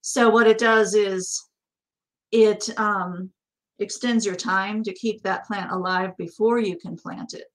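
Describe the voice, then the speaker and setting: A woman's voice: medium pitch, fairly even intonation, slow, poor audio. A middle-aged woman with an American accent, co-hosting a casual, conversational question-and-answer chat. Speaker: co-host. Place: small indoor office.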